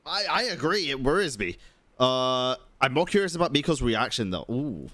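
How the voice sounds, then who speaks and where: Very low-voiced woman streamer, animated. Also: moderate pace, dry recording. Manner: muttered exclamations, chatty. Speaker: game streamer. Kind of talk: casual live stream reactions. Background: game music.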